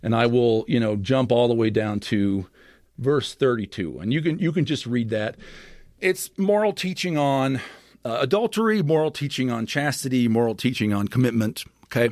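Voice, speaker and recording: A man talks through scripture with an animated, expressive teaching manner, often restarting his phrases. His voice sits in the middle range. The recording is dry and close. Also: mid-range voice, moderate pace, dry recording. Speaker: podcast guest scholar. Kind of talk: explanatory scripture discussion.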